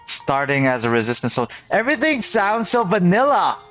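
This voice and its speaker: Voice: medium pitch, sing-song, brisk, a bit bright, faint music behind. A game streamer doing reaction commentary, exclaiming and repeating words in amazement while playing a story game.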